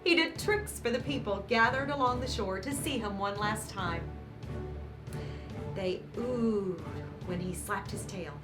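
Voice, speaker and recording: A woman reading a picture book aloud to children as host, with dramatic emphasis and warm, encouraging asides. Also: mid-range voice, unhurried. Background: background music.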